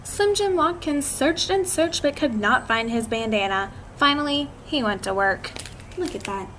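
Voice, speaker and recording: A woman reading a picture book aloud to children, expressive and drawn out, with chatty asides to her listeners. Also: high-pitched, normal speed. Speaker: read-aloud storyteller. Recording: clearly noisy amateur recording.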